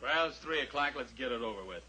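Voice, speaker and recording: Fast, forceful gangster henchman. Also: medium pitch, expressive. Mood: menacing. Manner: tough, slangy. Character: impatient trigger-happy gangster henchman, menacing. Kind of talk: old-time radio drama acting. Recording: faintly noisy old radio recording.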